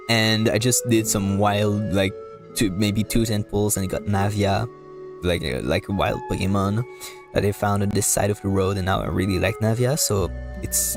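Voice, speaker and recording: A man giving casual live commentary as a game streamer, rambling and halting as he repeats words. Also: on the low side.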